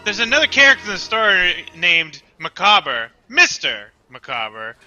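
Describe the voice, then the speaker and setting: A man's voice: sits high, huge pitch swings, unhurried, sharp tone, soft background music, heavily acted. A man reading the part of a timid, bookish bank teller in a live radio-play reading, hesitant and apologetic. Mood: wistful. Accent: American. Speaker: live script reader.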